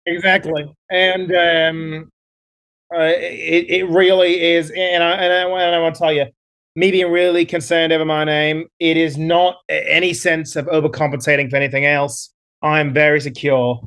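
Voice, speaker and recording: Man doing a boastful Australian adventurer, put-on Australian accent, high voice, rambling, filler-heavy. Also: level tone, normal speed, a bit bright. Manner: bragging, rambling, many fillers. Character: boastful male Australian adventurer, self-assured. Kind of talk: improvised comedy character.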